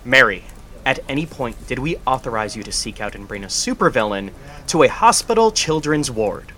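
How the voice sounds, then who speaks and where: An actor playing an animated male news anchor. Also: medium pitch, moderate pace, a little hiss or hum. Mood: stern.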